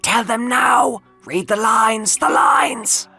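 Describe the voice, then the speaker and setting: Flamboyant impatient showman for audio comic , acted by a male narrator: extravagant, high-pitched, forceful delivery. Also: takes it slowly, gravelly, breathy edge, faint music behind, exaggerated voice. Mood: urgent, impatient. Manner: extravagant, theatrical, clipped. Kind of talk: audio comic book drama.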